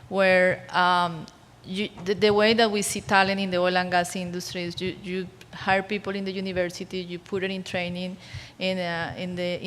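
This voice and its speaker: A woman from Colombia answering questions on a conference panel, halting with many restarts. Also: lowish voice, fairly bright tone. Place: conference hall. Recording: roomy hall with faint noise.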